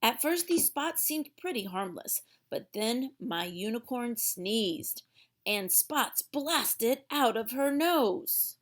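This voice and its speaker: A woman's voice: fairly high pitch, lively intonation, slow pace. A woman reading a storybook aloud for young children in a theatrical way, drawing out words for emphasis.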